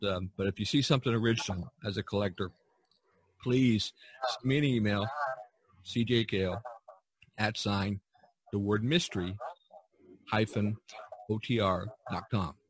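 Low-voiced man in casual podcast chat. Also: steady tone. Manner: halting, chuckling, plugging his work. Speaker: podcast host and artist.

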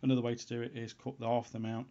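A man giving an unscripted how-to voice-over as a hobbyist presenter, in a halting way, as if thinking aloud. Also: mid-range voice, little pitch movement, mellow. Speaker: hobbyist presenter of a homebrew antenna tutorial. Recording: dull, lo-fi sound.

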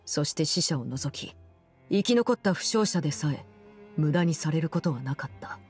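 An actress playing a cold, composed game villainess, telling a story in a measured, solemn and restrained way. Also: female voice, low voice, animated, darkish tone, soft background music. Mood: grave, composed. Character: cold, composed woman, Fatui Harbinger who runs an orphanage. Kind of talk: scripted game story narration.

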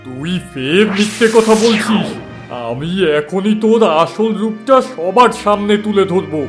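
Casting: stern fairy-tale holy man, declamatory, slow delivery over music. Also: high voice. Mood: stern righteousness. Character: righteous holy man, stern exposer of evil. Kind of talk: cartoon fairy-tale voice acting. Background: background music.

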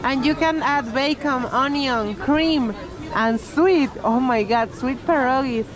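A woman's voice: sits high, slow. A young woman from Venezuela with a Venezuelan Spanish accent, chatting enthusiastically to viewers as a travel vlogger. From Caracas, Venezuela. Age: young adult. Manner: exclaiming, enthusiastic. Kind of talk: casual vlog chat to viewers. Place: train station, mall and outdoor Christmas market. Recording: noisy busy public places, crowd chatter.

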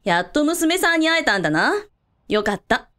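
A woman's voice: high voice, sing-song, moderate pace, a bit bright. A woman playing a confident, caring hotel owner in a radio drama, casually authoritative with everyone. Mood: commanding, caring. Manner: casually authoritative, blunt with staff. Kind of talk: scripted radio drama acting.

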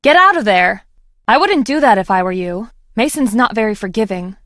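A woman's voice: mid-range voice, lively intonation, moderate pace, fairly bright tone, no room sound, clean studio sound, natural voice. An actress in a video game playing a guarded, plainspoken young woman scientist from a farm, with homespun turns of phrase. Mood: urgent.

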